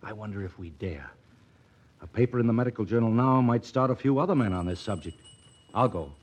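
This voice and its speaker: An actor playing an earnest, dedicated young doctor in a mining town, with a hesitant, intense manner, in 1940s radio drama style. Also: male voice, on the low side, expressive, brisk, slight background noise, close to an everyday voice. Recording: muffled old radio recording.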